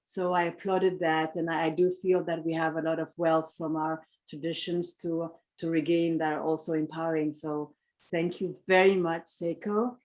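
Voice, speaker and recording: A woman moderates an online conference over a video call. Her tone stays flat and even, and she speaks hesitantly, filling gaps with uh and um. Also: sits low, normal speed, low-quality recording. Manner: hesitant, with many filler sounds. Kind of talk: casual moderating.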